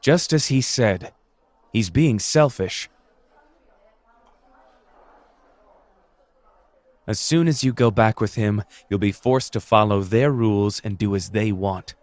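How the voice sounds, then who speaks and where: Man playing suave anime renegade sorcerer, lowish voice, measured formal coaxing, calmly manipulative, close dry roleplay audio. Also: dry recording, close to an everyday voice. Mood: coaxing, needling. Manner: measured, formal, gently persuasive.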